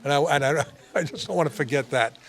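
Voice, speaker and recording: Male host, upper-middle pitch, loose repetitive chat with laughs. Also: fast talker, fairly bright tone. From New York. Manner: laughs often, repeats himself. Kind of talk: casual interview chat.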